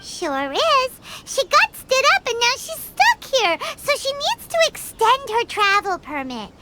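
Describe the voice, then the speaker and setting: A woman voicing a tiny, childlike fairy companion in a game, squeaky, excitable and quick to take offence. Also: very noisy, exaggerated voice. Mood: indignant. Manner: refers to herself by name, exclamatory. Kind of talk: cartoonish game voice acting. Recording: loud music behind the voice.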